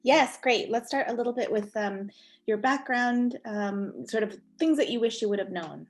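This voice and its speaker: A woman hosting an online workshop, friendly and halting, with frequent uhs, heard over a video call. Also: on the high side. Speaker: workshop host.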